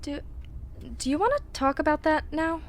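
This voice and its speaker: Woman acting a self-assured teenage girl, high voice, chatty, perky, teasing, audio drama. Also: expressive, moderate pace. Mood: confiding. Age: young adult.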